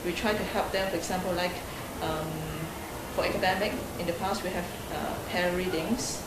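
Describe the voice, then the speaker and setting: A woman from the school staff answering interview questions hesitantly, with many pauses and fillers. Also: fairly low pitch, fairly even intonation. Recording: echoey room with loud noise.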